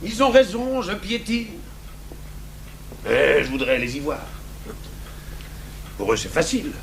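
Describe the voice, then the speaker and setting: An actor playing a gruff, brooding, stubborn French police commissioner in a 1980s radio drama. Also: male voice, a little high, very animated, unhurried, exaggerated voice. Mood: frustrated, brooding. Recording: noisy old radio broadcast recording.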